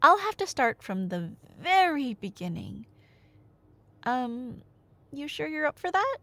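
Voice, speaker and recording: A woman with a fairly high voice that swings widely in pitch as she tells a story in a casual roleplay style. She takes her time, pausing for drama, and rambles with playful, nerdy asides. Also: big pitch swings, sharp tone. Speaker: ASMR roleplay audio performer playing a talkative girlfriend.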